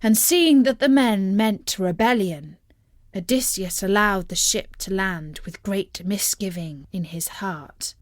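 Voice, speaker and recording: A woman narrating a scripted children's story in a measured, expressive storyteller's manner. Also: medium pitch, no room sound, studio quality.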